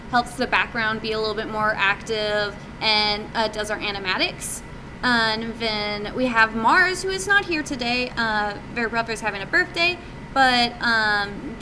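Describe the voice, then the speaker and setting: A woman answering questions informally at a meetup as an indie game developer, casual and a little hesitant, thinking aloud. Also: on the high side. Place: indoor meetup presentation, live. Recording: noisy live room recording, steady hum.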